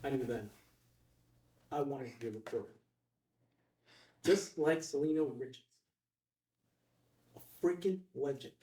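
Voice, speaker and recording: A man's voice: mid-range voice, expressive, unhurried, pauses often, mellow, lightly acted. A man playing a nervous, deferential young reporter in a film table read, hesitant and awkward. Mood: nervous, admiring. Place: room at a filmed table read. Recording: roomy, distant microphone.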